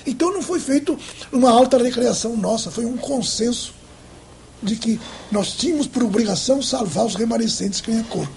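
A man's voice: very high voice, a little fast, gravelly, darkish tone, slight background noise. An older man in a documentary interview, recounting past events with restarts, filmed in a home office.

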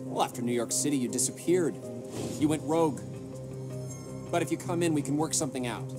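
Smug American male bureaucrat, acted by a man, fairly high voice, interrogating and formal, game cutscene scored with music. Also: brisk, natural voice. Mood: smooth, probing. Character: accusatory male government official, smug and pressing. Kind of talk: cinematic video game acting. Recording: music behind the voice.

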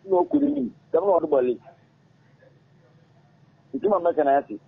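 A middle-aged man with a Ghanaian accent, an interview guest speaking in a halting, repetitive way and mixing languages mid-sentence, in a radio studio. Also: high-pitched, huge pitch swings, creaky, breathy edge. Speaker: interview guest, former footballer. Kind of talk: casual interview talk. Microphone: studio microphone on arm. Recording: muffled phone-like audio.

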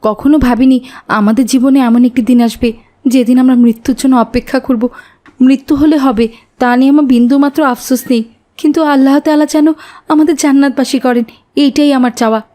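Cartoon village mother, woman performer: anxious, kindly, high-pitched, mellow, brisk. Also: fairly even intonation, lightly acted. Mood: worried. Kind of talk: cartoon voice acting.

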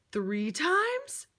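Female children's storybook reader, high voice, very slow delivery, theatrical and encouraging, talks straight to the child. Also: heavy background noise. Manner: theatrical, with exaggerated gasps and exclamations; encouraging, coaxing, addressing the child listener. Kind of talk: interactive picture-book read-aloud for young children.

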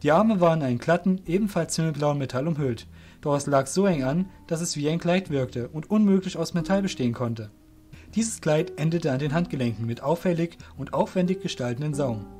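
A man reads scripted fantasy narration in a somewhat high voice. His delivery is deliberate and descriptive, and faint music runs behind it. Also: lively intonation, a little mellow.

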